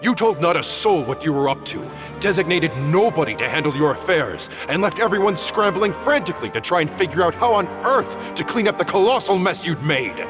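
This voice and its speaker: A stern, sarcastic old teacher. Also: male voice, a little high, big pitch swings, fairly quick. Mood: scolding. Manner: scolding, sarcastic, dry. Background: background music.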